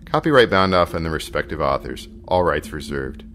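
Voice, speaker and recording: A man's voice: rumbling low, very animated, a little fast, fairly bright tone, slight background noise. A man hosting a podcast, reading scripted closing announcements. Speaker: podcast host.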